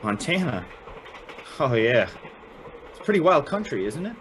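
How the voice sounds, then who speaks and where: A man's voice: medium pitch, very animated, a little slow, a bit bright. Man as eager young Southern schoolboy type, folksy and chatty, easily impressed. Radio play, slightly noisy recording. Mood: curious, friendly. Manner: folksy, chatty, hesitant narrating and exclaiming. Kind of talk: old-time radio drama acting.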